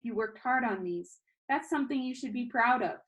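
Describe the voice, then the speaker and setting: A woman's voice: on the high side, moderate pace, a bit bright, dull audio, pitched above the performer's own voice, close to an everyday voice. Woman reading as a kindly, plain-spoken old grandfather. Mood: encouraging.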